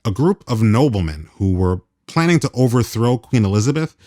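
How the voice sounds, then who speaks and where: A man's voice: mid-range voice, expressive, brisk, mellow, dry recording, studio quality. An American man hosting a radio program, moving between scripted narration and interview questions. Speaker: radio host.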